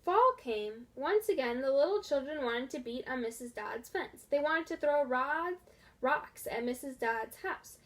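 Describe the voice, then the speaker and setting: A woman reading a children's storybook aloud in a deliberate, expressive way. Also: sits high. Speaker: storybook reader. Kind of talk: scripted children's story narration.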